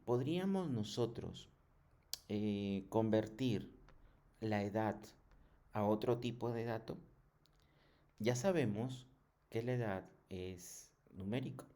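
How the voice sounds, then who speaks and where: A man giving a programming tutorial in a halting, didactic way, often checking in with short questions. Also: lowish voice, warm tone. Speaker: programming instructor. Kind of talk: screen tutorial lesson.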